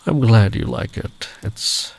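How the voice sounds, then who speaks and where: A man playing a big, kind traveller in the woods in an ASMR comfort roleplay, speaking gently and soothingly, often close to a whisper. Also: lowish voice, takes it slowly. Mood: reassuring. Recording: clear background ambient noise.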